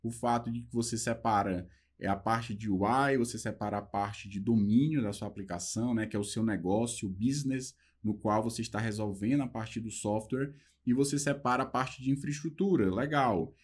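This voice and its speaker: A young man with a Brazilian Portuguese accent explaining software design in a relaxed lesson, using fillers and pausing to translate a book. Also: lowish voice, husky. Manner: talks loosely with fillers, slows down reading English passages. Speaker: programming instructor.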